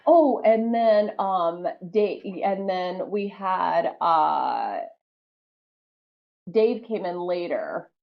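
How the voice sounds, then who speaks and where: Chatty Southern woman, lowish voice. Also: expressive, drawn-out words, gravelly, muffled recording. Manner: chatty, lots of "like" and "um". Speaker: podcast guest, former wrestler. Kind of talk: casual interview storytelling.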